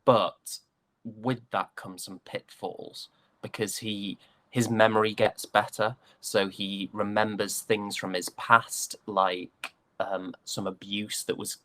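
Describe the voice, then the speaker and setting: A young British man chatting casually as an interview guest, an actor recounting his roles in a hesitant, halting way. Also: lowish voice, little pitch movement, fairly bright tone, no echo. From United Kingdom.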